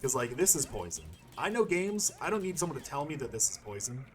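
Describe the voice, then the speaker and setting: Male let's-play host, medium pitch, loose and playful commentary, game music behind. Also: very animated, fast talker. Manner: rambling, playful, profane, thinking aloud. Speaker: gaming YouTuber playing and commenting.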